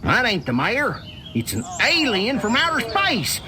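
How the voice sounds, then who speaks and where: A suspicious farmer in a very high voice that swoops up and down. He is an exaggerated, defensive yokel from a comedy video game. Noisy ambience runs behind him. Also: huge pitch swings, a little slow, heavily acted. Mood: alarmed, then musing.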